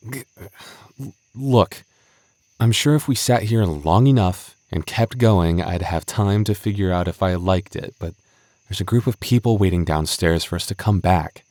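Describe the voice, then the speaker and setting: A man's voice: low-pitched, unhurried, darkish tone, no room sound. A man playing a flustered, bashful young male game master in an intimate roleplay audio drama, stammering, sighing and mock-stern with his childhood friend.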